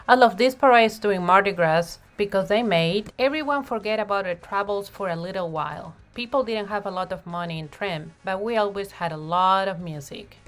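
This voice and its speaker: A woman narrating a children's picture book in an expressive read-aloud style, with deliberate phrasing and emphasized words. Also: medium pitch. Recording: music playing behind the voice. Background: music.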